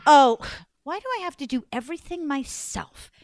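A woman's voice: sits high, moderate pace, no room sound. A woman voicing a busy, exasperated mother in a children's story.

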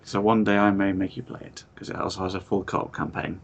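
A man with a fairly low voice co-hosts a relaxed gaming podcast. He is chatty and explains his points at length. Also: fast talker, creaky, dull audio. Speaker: podcast co-host. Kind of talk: casual podcast chat.

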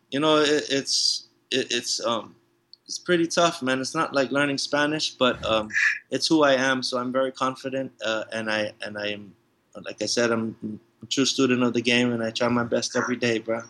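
Male tattoo artist, interview guest, bright tone, easygoing rambling delivery. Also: medium pitch, normal speed, low-quality recording. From Hawaii, raised in San Diego. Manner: relaxed, rambling, many restarts and "you know". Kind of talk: casual interview chat.

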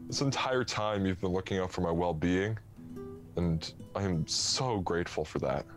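A man acting an earnest, awkward, apologetic man in a comedy radio play, speaking haltingly. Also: deep voice, music in the background, close to an everyday voice. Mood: earnest, grateful. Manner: halting, hesitant.

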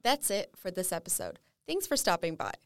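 A woman's voice: fairly low pitch, huge pitch swings, normal speed, smooth, dry recording. A woman reading a scripted podcast outro in an upbeat, announcing manner.

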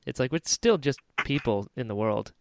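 A man's voice: medium pitch, animated, normal speed, vocal fry. A man hosting a podcast in an informal, enthusiastic and affirming chatty way. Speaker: podcast host. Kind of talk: casual chat.

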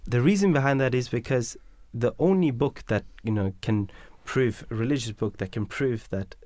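A man's voice: mid-range voice, normal speed, darkish tone, no room sound. A man whose mother tongue is Bangla explains his points at length in a casual radio discussion, with many fillers and restarts.

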